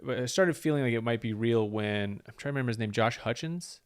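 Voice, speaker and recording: A man's voice: mid-range voice, fairly quick, smooth. A man, a comedian, telling a rambling story in casual chat and acting out the quoted lines.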